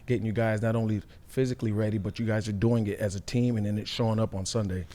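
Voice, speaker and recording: A man speaking quickly in a lowish, even voice. He sounds like a former player co-hosting a sports show, talking through analysis and questions in a conversational way.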